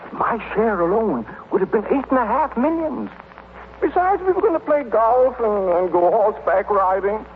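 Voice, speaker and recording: Tinny old-radio flustered, swooping dotty gentleman. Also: high-pitched, slight background noise, heavily acted. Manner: wistful, flustered, halting. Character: happily demented gentleman with delusions of high finance. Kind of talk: 1930s radio comedy-drama acting.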